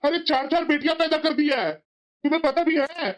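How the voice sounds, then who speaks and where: Woman as a high-pitched, resentful cartoon husband. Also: normal speed, natural voice. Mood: bitter, irritated. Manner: complaining. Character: resentful, weary husband and father of four daughters. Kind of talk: Hindi moral-story cartoon dialogue.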